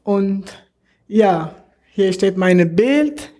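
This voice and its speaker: A young man from Afghanistan with an Afghan accent, answering interview questions in slow, halting learner's German. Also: high voice, lively intonation, dark tone. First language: not German. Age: young adult.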